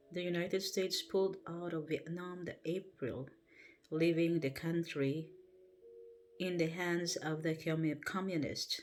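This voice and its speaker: Woman reading aloud with a Filipino accent. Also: fairly low pitch. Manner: careful, halting reading with self-corrections. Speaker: livestream host. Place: at home before bed. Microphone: livestream setup.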